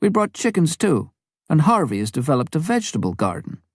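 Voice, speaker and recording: Guy reading as a plain-spoken missionary woman in an audiobook, pitch raised, dry sound. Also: sing-song, brisk, no echo. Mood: matter-of-fact. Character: missionary woman living in the jungle, matter-of-fact.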